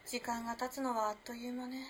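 An actress playing a composed, enigmatic young modern woman in a period Japanese radio play, speaking in a cool, measured, teasing way. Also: fairly high pitch, little pitch movement, natural voice. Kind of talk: dramatic radio play acting. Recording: clear background noise and ambience.

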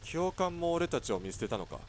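A blunt young army sniper, played by a man talks in rough soldier slang with a mid-range voice. Faint noise runs under his lines in this radio play. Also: a little high, very animated, brisk. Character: hot-headed young male army sniper.

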